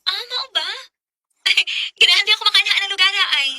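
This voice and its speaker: A woman's voice: very high voice, brisk, bright, forceful tone, full character voice. A woman playing a sweet, coy young woman being courted in a radio drama, with a girlish manner and a Cebuano Bisaya accent. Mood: coy, friendly.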